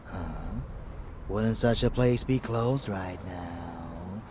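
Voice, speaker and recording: A man playing a haughty, seductive and menacing vampire lover in an intimate ASMR roleplay, with breathy, teasing murmurs. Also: deep voice, noisy background. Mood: playful, smug. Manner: breathy whispering murmurs, slow and deliberate, teasing.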